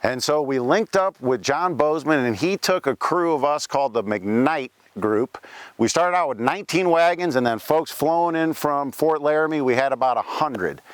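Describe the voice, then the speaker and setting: A man's voice: on the high side, lively intonation, normal speed, clear, resonant tone, dry recording, close to an everyday voice. A man playing the ghost of a Welsh-born pioneer gold miner, telling his life story in a folksy first-person way with frequent asides, outdoors at a cemetery. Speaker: costumed cemetery tour performer. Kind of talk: first-person historical reenactment.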